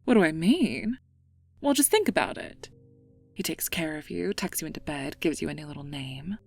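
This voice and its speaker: A woman acts out a regal goddess in an ASMR audio drama, playful yet wistful. Her voice sits low with a creaky edge, often sinking into a breathy whisper, and she muses in a slow, teasing way. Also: very animated, faint music behind, exaggerated voice. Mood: intrigued, musing. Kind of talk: intimate ASMR roleplay acting.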